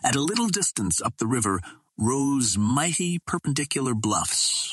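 Low, slightly husky male audiobook narrator. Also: a bit bright, no room sound. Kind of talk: scripted narration of an adventure novel.

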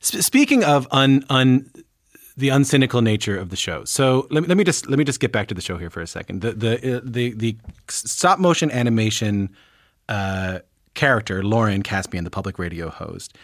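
Animated Newfoundland man hosting an interview. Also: medium pitch, moderate pace, dry recording, studio quality. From Newfoundland, Canada. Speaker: radio interview host. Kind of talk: casual radio interview.

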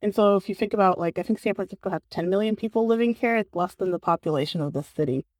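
A woman's voice: medium pitch, fast, a little mellow, no room sound. Earnest woman from Bulgaria, dry sound. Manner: earnest, many fillers like "like". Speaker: guest. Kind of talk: casual interview talk. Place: San Francisco.

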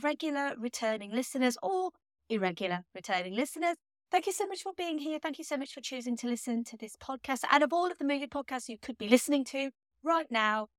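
A woman hosting a podcast alone, talking in a chatty, enthusiastic way while still slightly hoarse from laryngitis. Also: high voice, a bit bright, no echo, clean studio sound. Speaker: podcast host. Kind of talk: solo podcast monologue.

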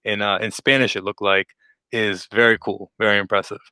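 Casual low-voiced man hosting podcast. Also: little pitch movement, brisk, bright, forceful tone, clean tone, dry recording. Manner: casual, filler-heavy, upbeat. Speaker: podcast host. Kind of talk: remote podcast interview. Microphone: remote call microphone.

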